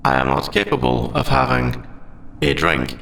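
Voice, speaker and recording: A very gravelly, creaky AI character acted by a man speaks in stilted, formal phrases with a lively, swooping pitch. He is polite and literal, a sentient machine in a sci-fi roleplay audio drama. The voice sits in a roomy echo. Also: moderate pace, slight background noise, full character voice. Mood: confused, halting. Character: male sentient AI, polite, literal, hiding forbidden feelings.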